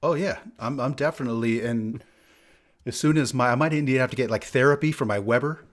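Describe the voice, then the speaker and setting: A man's voice: medium pitch, brisk. A man co-hosting a podcast in casual, rambling banter, often repeating himself as he goes. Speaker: podcast co-host.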